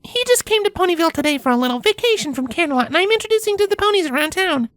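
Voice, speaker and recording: A man's voice: very high-pitched, animated, rapid-fire, fairly bright tone, no room sound, pitched above the performer's own voice. A man voicing a friendly young female pony with an encouraging, chatty manner, in a story reading.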